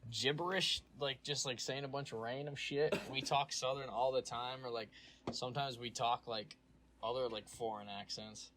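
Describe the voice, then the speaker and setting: An energetic young man rambling in casual chat, stringing his thoughts together with many fillers. His pitch is ordinary for a man, and clear background noise sits under his voice. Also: normal speed. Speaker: podcast co-host.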